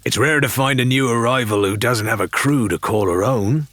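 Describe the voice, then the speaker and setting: A man's voice: mid-range voice, moderate pace, fairly bright tone, dry recording, pitched above the performer's own voice, lightly acted. A man playing a burly, jovial pirate-tavern barkeeper in an audiobook, with hearty, folksy rustic speech. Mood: agreeable, curious. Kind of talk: audiobook character voice.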